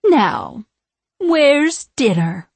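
A woman voicing a vain, scheming witch from centuries past, crooning and needling in a slow, theatrical manner for an audiobook. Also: high-pitched, huge pitch swings, gravelly, no echo, pitched above the performer's own voice, exaggerated voice. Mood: commanding, gloating. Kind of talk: audiobook character voice.

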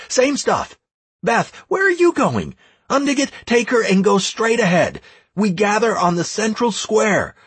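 A commanding young battle leader , voiced by a male narrator, pitched up and urgent, barks orders with a rough edge to the voice. The delivery swings forcefully, in a fantasy audiobook style. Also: full character voice.

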